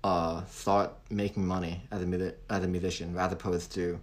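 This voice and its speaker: Halting young man, lowish voice. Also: little pitch movement. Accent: light British-tinged American. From Hong Kong. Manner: halting, many restarts and fillers. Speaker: singer-songwriter guest. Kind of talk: casual interview chat.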